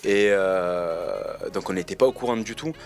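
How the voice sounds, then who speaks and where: A man, answering interview questions in a relaxed, slangy way and pausing often with fillers. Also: low voice, creaky, faint music behind. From Marseille, France. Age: 20s. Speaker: reality TV contestant interviewed.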